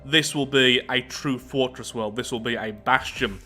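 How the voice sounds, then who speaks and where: A man hosting a gaming video, mixing expressive commentary with read-aloud story text and theatrical asides. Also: mid-range voice, normal speed. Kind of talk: roleplay game commentary mixed with read-aloud event text.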